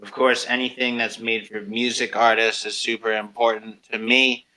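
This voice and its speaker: A man speaks as the host of an interview show. His voice is strikingly bright and forceful, sitting at a medium pitch. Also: flat delivery, normal speed, low-quality recording. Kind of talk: interview hosting.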